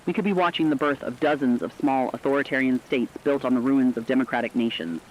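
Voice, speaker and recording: A man's voice: mid-range voice, fast talker, highs cut off, a little hiss or hum, close to an everyday voice. A man playing a troubled, earnest co-host in an apocalypse radio drama, speaking solemnly and reflectively. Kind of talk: scripted dramatic radio commentary.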